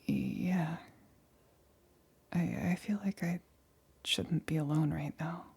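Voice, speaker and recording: Woman acting a breathy, whispering, anxious lab assistant. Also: low-pitched, steady tone, a little mellow, full character voice. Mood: anxious, pleading. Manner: halting, hesitant, stammering. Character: anxious, lonely female lab assistant growing woozy and clingy. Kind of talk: ASMR roleplay, soft intimate whispering.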